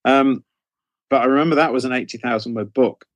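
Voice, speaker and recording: A man's voice: mid-range voice, a little fast, no echo. A middle-aged man with a British accent, telling anecdotes as an interview guest in a rambling, self-interrupting way.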